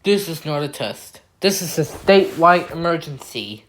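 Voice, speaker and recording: Female announcer on the low side, reading a scripted mock emergency alert. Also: lively intonation, moderate pace, over loud music.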